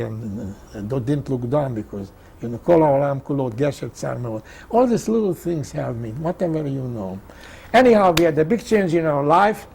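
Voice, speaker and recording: An elderly man, a native Hungarian speaker with a Hungarian accent, telling his life story in an emphatic, halting way, often repeating phrases. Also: mid-range voice, vocal fry, darkish tone, breathy edge. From Czechoslovakia, Hungarian-speaking. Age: 80s or older. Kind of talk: oral history interview, personal storytelling.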